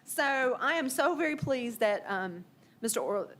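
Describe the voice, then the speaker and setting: A woman's voice: sits high, lively intonation, unhurried, fairly bright tone, slight background noise. A woman on city staff giving an informal report at a board meeting, hesitant with many fillers and restarts, her voice a bit worn. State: losing her voice. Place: council meeting room.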